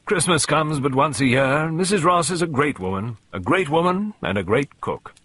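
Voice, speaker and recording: A man's voice: fairly high pitch, expressive, moderate pace, a bit bright, slightly rough, no echo, pitched above the performer's own voice. A man voicing a hearty, grumbling older colonel and country squire in an audiobook. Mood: hearty, jovial. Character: blustering, good-humoured older English colonel, country squire. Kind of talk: audiobook character voice.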